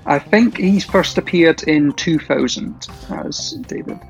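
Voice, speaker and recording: A man's voice: a little high, takes it slowly, dull audio, music in the background. Chatty Shetland-accented man. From Shetland Isles, Scotland. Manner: friendly, chatty, laughs often, some hesitation. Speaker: quiz contestant. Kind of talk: casual interview answers.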